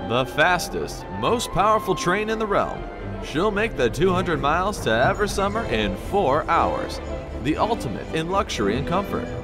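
A man's voice: on the high side, very animated, moderate pace, over music. A man voicing a polite, formal male train concierge in a fan comic dub, courteous and a bit flustered.

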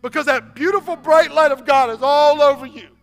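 A man in his forties, a pastor preaching a sermon on a church stage, swinging from loud exhortation to soft, near-whispered appeals. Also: very high voice, fairly even intonation, fairly quick, vocal fry, soft background music.

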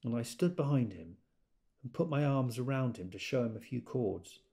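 An actor playing a wistful, tender man quietly remembering his boyhood in an audio drama monologue. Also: mid-range voice, animated, normal speed, lightly acted. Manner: quiet, reflective.

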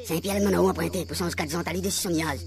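A man's voice: high voice, rapid-fire, distant mic. A man with a Réunion Creole accent playing a chatty, obliging spirit in a comic radio serial, with a rattling, colloquial delivery. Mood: relaying. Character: chatty obliging spirit acting as go-between for a medium. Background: music under the voice.

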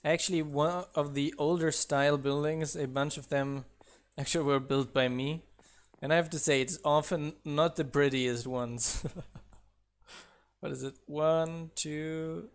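A man's voice: a little high, flat delivery, takes it slowly, clear, resonant tone. A man whose first language is not English, a mod developer chatting casually while building in a live game stream, counting blocks aloud and laughing at his own mishaps.

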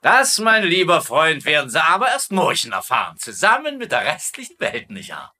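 Vain comedy commandant: high, swooping, self-important, easily flattered. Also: male voice, normal speed, slight background noise, heavily acted. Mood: smug, gloating. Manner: pompous, repeating tag questions. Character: vain, pompous, gullible German camp commandant, middle-aged. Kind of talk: dubbed sitcom acting.

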